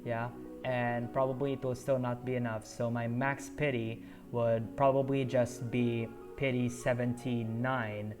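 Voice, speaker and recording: Slow, halting gaming streamer. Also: male voice, medium pitch, level tone. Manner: halting, lots of um and uh. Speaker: game streamer. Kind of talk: casual gameplay commentary. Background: game music.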